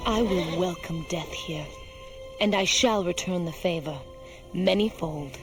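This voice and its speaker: A woman's voice: on the low side, a little slow, over music, lightly acted. An actress playing a stoic, determined young female warrior, speaking earnestly and solemnly. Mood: grave, resolute.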